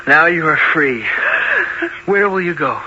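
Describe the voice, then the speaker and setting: An actor playing a solemn young man raised in the jungle, speaking in measured, formal radio drama style. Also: fairly high pitch, lively intonation. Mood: calm, resolute. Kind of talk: old-time radio drama acting. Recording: muffled, noisy old radio drama recording.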